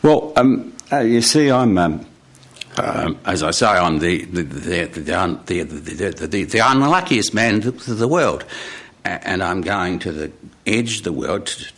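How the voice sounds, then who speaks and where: A man's voice: sits low, sing-song, fairly bright tone, voice pushed down, full character voice. A man voicing a hapless, flustered man with a heavy stutter, convinced of his bad luck, in live storytelling. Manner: heavy stuttering, halting speech.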